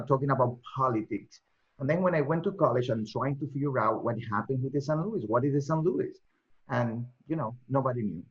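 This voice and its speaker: A man with a Cuban Spanish accent and Spanish as his first language. He answers interview questions reflectively, with slightly non-native phrasing. His mid-pitched voice comes through dull, low-fidelity audio. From Cuba. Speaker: author, journalist guest. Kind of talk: interview answers, personal storytelling.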